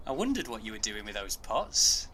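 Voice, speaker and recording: An actor playing a friendly, gentle, tactful neighbour in a naturalistic audio drama. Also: male voice, fairly low pitch, sing-song, moderate pace, slight background noise.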